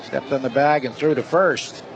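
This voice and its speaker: A man's voice: mid-range voice, normal speed, vocal fry, lo-fi sound. A man doing live radio play-by-play of a baseball game as the announcer. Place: stadium broadcast booth. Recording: steady stadium noise behind voice. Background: loud ballpark ambience.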